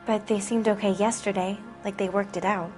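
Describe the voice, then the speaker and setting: A woman's voice: mid-range voice, fairly even intonation, fairly quick, darkish tone, faint music behind, natural voice. A woman voicing a naive, frightened teenage girl in a video game, hesitant and plaintive. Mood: hesitant, fearful.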